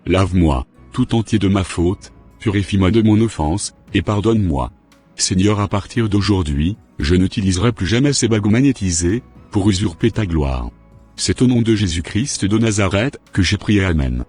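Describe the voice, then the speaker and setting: Man acting a repentant sick preacher, husky voice, very deep, pleading, humble, soft music under. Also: animated, moderate pace. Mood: pleading prayer. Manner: troubled, pleading, prays humbly.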